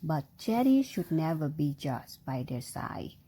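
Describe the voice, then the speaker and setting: Slow, low-pitched woman reading a storybook. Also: sing-song, warm tone, husky. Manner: engaging, prompting with questions and sound effects. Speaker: parent reading aloud to a young child. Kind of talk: slow storybook read-aloud with chatty asides to a child.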